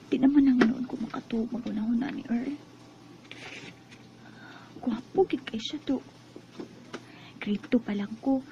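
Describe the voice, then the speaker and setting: An actress playing a shy, lovestruck teenage high school girl in a radio drama, with a bashful manner and a Cebuano accent. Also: dark tone, dull audio, a little hiss or hum. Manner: bashful, girlish. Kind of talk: Bisaya radio drama acting.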